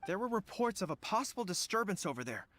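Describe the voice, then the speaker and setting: A man's voice: high-pitched, very animated, fast talker, fairly bright tone, dry recording. A man voicing a loyal, earnest young male general with dog traits in a video game, speaking formally and dutifully. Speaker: resistance general.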